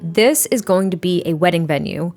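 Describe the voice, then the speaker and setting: A young adult woman with a slightly hoarse, rough voice, chatting casually and rambling through a voiceover, sounding a little tired. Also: fairly low pitch, animated, moderate pace, darkish tone, soft background music. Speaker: Sims speed build creator.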